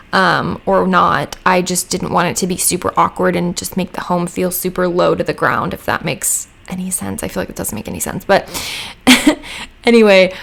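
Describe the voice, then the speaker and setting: A young woman talks through a home-mic voice-over, a little hoarse today. Her voice has gravelly fry as she rambles, full of "like" and little asides. It sounds relaxed and chatty. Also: medium pitch. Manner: rambling, chatty, lots of "like" fillers. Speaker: Sims speed build creator. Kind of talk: casual voice-over chat.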